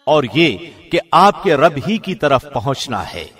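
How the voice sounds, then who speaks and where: A man reading a scripture translation from a script, in a formal, measured radio presenter's delivery. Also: a little high, very animated, a bit bright, husky.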